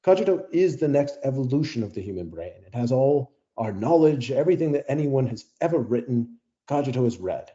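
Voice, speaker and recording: A male actor plays a coldly rational male tech leader in an audio drama debate. He lectures bluntly and dismissively in a very mellow, dark timbre. The recording is slightly muffled. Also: medium pitch, expressive, fairly quick, hardly any pauses, lightly acted. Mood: coldly argumentative. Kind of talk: radio play debate acting.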